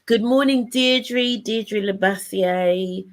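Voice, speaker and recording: A woman hosting a casual live online chat, talking warmly to her audience and guests with lots of fillers and restarts. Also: mid-range voice, slow. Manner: chatty, many fillers and restarts. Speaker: host.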